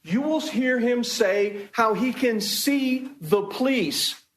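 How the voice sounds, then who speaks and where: A man speaking as a prosecutor to a jury, making an emphatic, deliberate closing argument with repeated phrases, recorded in a courtroom. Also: very high voice, echoey room, slight background noise. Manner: emphatic, deliberate, repetitive rhetoric. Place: indoor courtroom.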